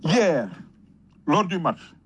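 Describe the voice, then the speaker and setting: A man with a Senegalese accent speaks in a husky, slightly high voice. He delivers an impassioned patriotic talk like a storyteller, leaning on repetition and emphatic, rhetorical phrasing. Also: big pitch swings, drawn-out words. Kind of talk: impassioned patriotic public talk, switching between French and Wolof.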